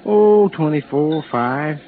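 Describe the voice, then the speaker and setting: A man's voice: fairly high pitch, expressive, a little hiss or hum. A man playing a chummy, streetwise gambler with slangy American talk in an old radio drama. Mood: sly, chatty. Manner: slangy, drawn-out folksy talk. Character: streetwise, chummy New Orleans man-about-town and gambler. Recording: muffled old radio recording.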